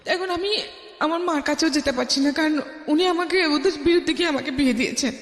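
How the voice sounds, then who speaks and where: A woman's voice: sits high, a little fast. A middle-aged woman with a Bangladeshi accent tells her life story as a radio interview guest, emphatically, acting out remembered dialogue. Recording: echoey room with long reverb.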